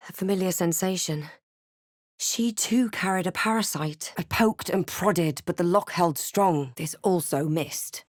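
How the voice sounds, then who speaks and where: Wary fantasy adventurer heroine, very dry recording. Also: female voice, lowish voice, a little slow, no room sound. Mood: brooding narration. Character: snarky, wary female adventurer hero of a fantasy game. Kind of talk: fantasy video game lines, short remarks and narrated thoughts.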